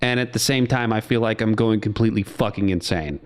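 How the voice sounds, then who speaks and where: A man's voice: on the low side, brisk, clean tone. A young man co-hosting a podcast, chatting casually and sarcastically about a movie. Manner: animated, sarcastic, mimics characters in goofy voices. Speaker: podcast co-host. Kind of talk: casual chat, movie review.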